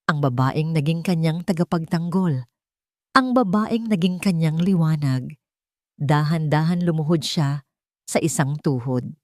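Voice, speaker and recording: A woman reads a dramatic romance audiobook in a lowish, mellow voice. She tells it deliberately and with emotion. The sound is dry and intimate, with no room around it. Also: dry recording. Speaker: narrator of romance stories. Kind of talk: dramatic scripted audiobook narration.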